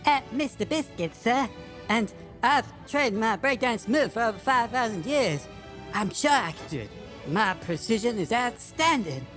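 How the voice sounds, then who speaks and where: A bright, forward-sounding little cookie man, voiced by a woman, eager and sweet-natured. He is nervous and polite, stammering through a comic audio drama with music behind. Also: on the high side, expressive, moderate pace. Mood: earnest, determined. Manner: stammering, deferential. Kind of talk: comedic audio drama acting.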